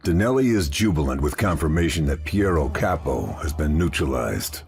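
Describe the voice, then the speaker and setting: An actor playing a terse, deadpan American military sniper in a video game. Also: male voice, a bass voice, moderate pace, vocal fry, exaggerated voice. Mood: grave briefing. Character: stoic, hard-nosed male military sniper and commando. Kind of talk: video game cutscene acting and briefing narration. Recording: dramatic music bed behind.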